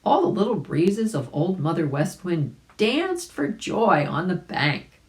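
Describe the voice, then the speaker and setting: A woman reading a children's story aloud in an expressive way, with chatty asides to young listeners. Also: lowish voice, measured pace, low-quality recording. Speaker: volunteer storybook reader.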